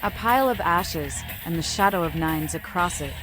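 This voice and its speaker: Woman voicing a cryptic low-voiced vampire seer. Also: lively intonation, moderate pace, music in the background, lightly acted, poor audio. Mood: cryptic, grave. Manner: riddling, oddly formal. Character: cryptic, mad female Malkavian vampire seer. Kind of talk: fan-voiced video game protagonist.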